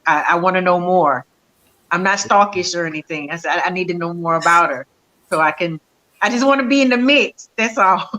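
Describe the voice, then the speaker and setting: A woman cast member speaking as a guest on a talk show, halting and hesitant with many fillers. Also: lowish voice, sharp tone, rough recording. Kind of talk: casual interview answer.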